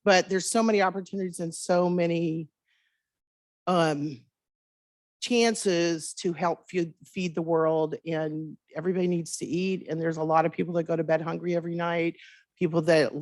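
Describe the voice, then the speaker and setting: A woman's voice: deep voice, no room sound. A middle-aged woman speaking informally and earnestly to a conference, in a conversational way. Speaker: industry speaker at a college conference.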